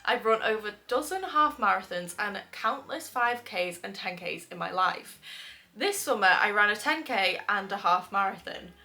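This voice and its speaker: A woman's voice: medium pitch, lively intonation, normal speed, sharp tone. A woman with a nasal voice from a cold, hosting a chatty video, reading posts aloud and laughing often. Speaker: host.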